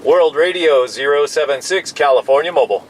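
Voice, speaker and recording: An American man with a voice a little higher than average talks as a CB operator. His delivery is casual and friendly, peppered with radio jargon. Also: brisk, very noisy. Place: in a parked vehicle outdoors. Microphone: CB radio microphone.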